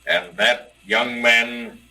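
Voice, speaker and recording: An actor playing a grave ghostly father and unseen boss in an old radio mystery, speaking in a solemn, formal, measured and commanding way. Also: medium pitch, flat delivery, pushed and bright, heavily acted. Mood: solemn warning. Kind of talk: 1930s radio mystery acting. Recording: distant, noisy vintage radio recording.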